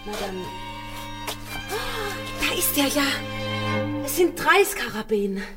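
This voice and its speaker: An earnest female expedition helper in a radio drama. She speaks higher than most women, polite, deliberate and watchful. She is recorded a little off the mic with faint music. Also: very animated, sharp tone, recorded from a distance, exaggerated voice. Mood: searching, intent. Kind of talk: German radio play acting.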